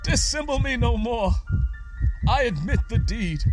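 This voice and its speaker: A man's voice: extremely high pitch, expressive, a little slow, music in the background, pitched above the performer's own voice, low-quality recording. A man performing a gothic story as a paranoid, guilt-ridden murderer confessing, in a theatrical, vehement manner. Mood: frantic, anguished. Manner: theatrical, vehement, emphatic repetition. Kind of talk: dramatic first-person gothic story reading.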